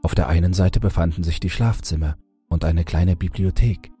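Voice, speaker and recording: Deep, husky male horror narrator. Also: moderate pace, dark tone. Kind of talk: scripted creepypasta audiobook narration. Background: background music.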